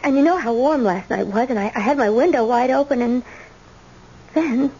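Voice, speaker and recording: An actress as a high-voiced young woman in a vintage radio play. She is anxious and distraught, speaking in halting, pleading phrases on a muffled recording with faint noise. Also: mellow, close to an everyday voice. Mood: shaken, earnest. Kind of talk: old-time radio drama acting.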